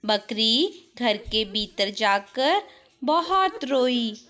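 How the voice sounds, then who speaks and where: A woman's voice: on the high side, very animated, extremely slow, fairly bright tone. A woman narrating a scripted children's story as the storyteller.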